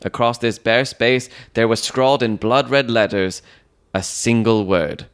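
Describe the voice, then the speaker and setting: A man's voice: medium pitch, a little slow, smooth. A man reading scripted first-person narration for a dramatized detective story.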